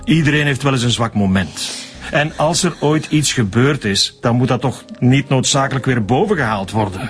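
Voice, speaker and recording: A man acting a nervous sexton in a Flemish radio drama. He is evasive and defensive, guarding what he knows. His voice sits at a medium pitch, with a Flemish accent. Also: lively intonation, normal speed, soft background music, lightly acted. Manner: defensive, hedging.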